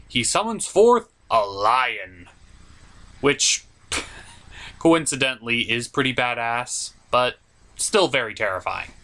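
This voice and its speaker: Sarcastic film reviewer with wildly swooping delivery. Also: mid-range voice. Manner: animated, sarcastic. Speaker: comedic film reviewer and host. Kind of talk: comedic movie recap commentary.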